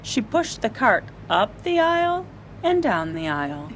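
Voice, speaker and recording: A woman storyteller reading a children's story aloud in an animated, expressive way. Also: fairly high pitch, measured pace, slight background noise, rough recording.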